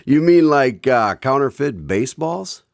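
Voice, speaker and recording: An actor playing an affable, folksy radio show announcer and host in the old-time style of a radio drama. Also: male voice, mid-range voice, animated, unhurried, creaky, no echo, clean studio sound. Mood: friendly, curious.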